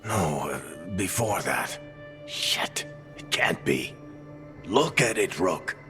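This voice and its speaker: A deep-voiced, weary fantasy storyteller. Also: male voice, expressive, slow, bright, forceful tone, heavily acted. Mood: worried. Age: middle-aged. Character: world-weary, gruff older male fantasy adventurer and storyteller. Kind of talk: cinematic game dialogue and dramatic storytelling. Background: music.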